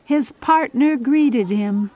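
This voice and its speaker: High-voiced, slow-paced female storyteller. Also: a little mellow. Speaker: audiobook narrator. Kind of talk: scripted story narration. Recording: music behind the voice.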